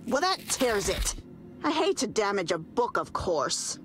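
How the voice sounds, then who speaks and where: An actress playing a stern, prissy and menacing female librarian in an animated video game, prim, haughty and condescending. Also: medium pitch, measured pace, soft background music. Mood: haughty menace.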